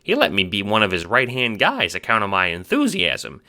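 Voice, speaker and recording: A man voicing a cheerful, airheaded little minion creature in an audio drama, excitable, slangy and boyish. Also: low-pitched, normal speed, voice pushed up, exaggerated voice. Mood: cheerful boasting. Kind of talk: fan audio drama character acting.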